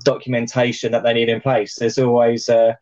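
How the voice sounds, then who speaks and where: A man hosting a podcast over a video call, chatty and joking with lots of fillers, his voice a little croaky. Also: medium pitch, monotone, brisk, darkish tone, lo-fi sound. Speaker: podcast host. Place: plant room.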